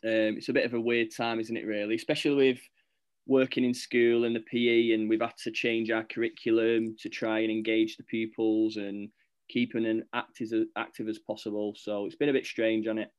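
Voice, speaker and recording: A man's voice: fairly low pitch, level tone, fairly quick, smooth. Upbeat male podcast host. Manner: upbeat and encouraging. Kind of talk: school podcast host, scripted intro then casual chat.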